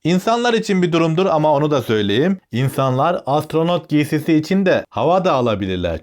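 An inquisitive, helpful schoolboy from a storybook, read by a grown man. He explains his ideas eagerly in a mid-range voice with very animated, swinging pitch. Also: close to an everyday voice.

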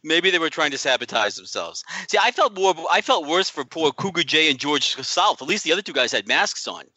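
A man's voice: fairly high pitch, big pitch swings, a little fast, pushed and bright. A man co-hosting a podcast who makes wry jokes in a casual way. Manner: wry, joking. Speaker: podcast co-host.

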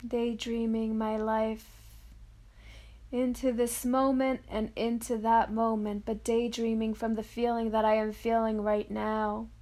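A woman guides a meditation in a fairly high voice. She speaks very slowly, in soft, drawn-out phrases with long pauses. Her intonation stays level and dreamy as she offers affirmations. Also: level tone.

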